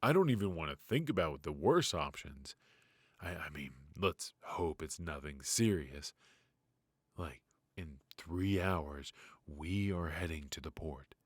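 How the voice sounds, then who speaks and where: A man playing a weary, ruthless yet fatherly mafia boss in an intimate ASMR roleplay, with a low, husky, breathy voice and a wry, sighing delivery. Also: animated, no room sound, studio quality, exaggerated voice. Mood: apologetic, anxious. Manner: slow, pausing, sighing, wry.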